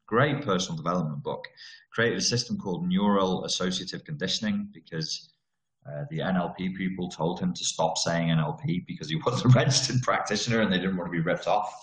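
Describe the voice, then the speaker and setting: A man's voice: low voice, brisk. A middle-aged man giving a blunt, slangy, joking talk as a self-development coach. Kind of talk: casual monologue lesson. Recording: echoey room.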